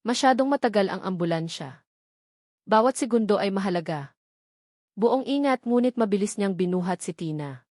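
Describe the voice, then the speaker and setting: A woman's voice: mid-range voice, expressive, normal speed, pauses often, a little mellow, no room sound, studio quality. A woman giving scripted, dramatic narration of a romantic story as its narrator.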